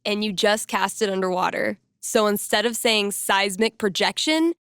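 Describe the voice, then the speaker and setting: A woman's voice: mid-range voice, fairly even intonation, moderate pace, a bit bright, clear, resonant tone, dry recording, clean studio sound, close to an everyday voice. An actress playing a wry, slangy young woman who DJs and runs tabletop games, in a video game. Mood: wry, casual. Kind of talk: video game character inner monologue.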